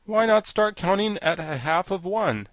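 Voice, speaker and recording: Man as an abrupt, high-voiced wooden sawhorse. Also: big pitch swings, fast talker, a bit bright, dry recording, clean studio sound. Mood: abrupt, practical. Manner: abrupt, matter-of-fact. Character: living wooden sawhorse, abrupt, plain-thinking. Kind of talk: storybook character voice.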